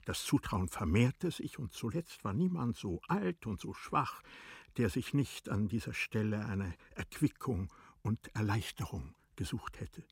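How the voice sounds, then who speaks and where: A man reads literary narration with measured solemnity. His voice is fairly low and very breathy, recorded close and dry, and he takes his time over each phrase. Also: animated, a little mellow, dry recording.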